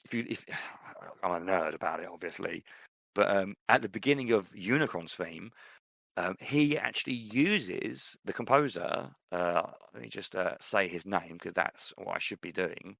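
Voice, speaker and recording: A man's voice: deep voice, normal speed, vocal fry, a bit bright, dry recording. A man co-hosting a podcast, chatting casually and rambling with many fillers about films. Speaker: podcast co-host.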